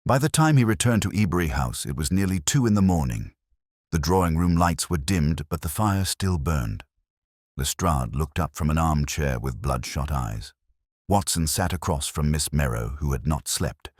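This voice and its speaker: Man, audiobook narrator, extremely low voice, lively intonation, deliberate phrasing, dry close sound. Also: dry recording. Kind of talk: scripted mystery story narration.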